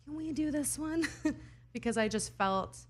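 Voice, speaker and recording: A woman's voice: high voice, expressive, measured pace, a little hiss or hum. A young woman from Idaho, a singer-songwriter answering interview questions in an earnest, thoughtful way with laughs between answers.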